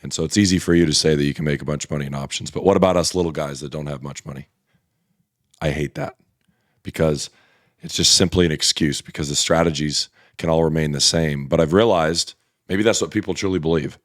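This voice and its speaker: Brief: slightly hoarse male YouTuber, low voice, blunt casual explainer, dry close mic. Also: fairly quick, dry recording. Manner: blunt, conversational, frequent restarts. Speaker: options trading YouTuber. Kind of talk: casual direct-to-camera explainer.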